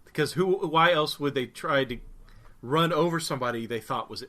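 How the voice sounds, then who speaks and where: A man's voice: a little high, normal speed, pushed and bright. A man co-hosting a podcast who chats casually, teasing his partner and laughing often. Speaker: podcast co-host. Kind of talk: casual chat about a TV episode.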